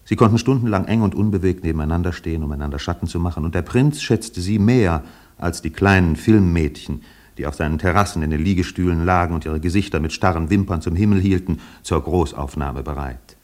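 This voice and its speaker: A man narrating a literary radio play in a measured, precise, faintly ironic manner. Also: deep voice, a little mellow, natural voice.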